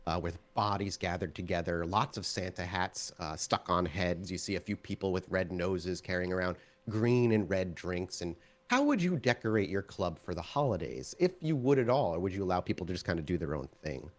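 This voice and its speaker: A man's voice: low-pitched, expressive, a little fast, hardly any pauses. A man running a tabletop roleplaying game as storyteller, narrating scenes descriptively and addressing his players. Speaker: game master.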